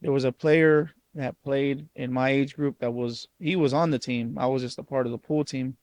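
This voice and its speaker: A man's voice: medium pitch, steady tone, moderate pace, darkish tone. A man, a youth soccer coach hosting a podcast, asking questions and giving his player encouraging advice. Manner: encouraging, mentoring tone.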